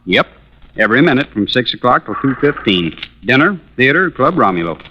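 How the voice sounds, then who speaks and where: An actor playing a cagey, wry man in a 1950s radio drama, laconic and unhurried. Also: mid-range voice, big pitch swings, close to an everyday voice. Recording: noisy, dull old radio recording, clicks.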